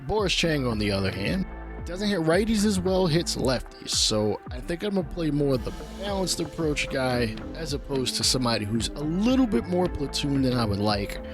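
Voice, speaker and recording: A man's voice: fairly high pitch, big pitch swings, normal speed. A man with a cold-stuffed nasal voice, casually commentating a baseball video game from a home studio mic. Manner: laid-back, chatty play-by-play with asides. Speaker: gaming channel host. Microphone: studio mic on a boom arm. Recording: game music underneath.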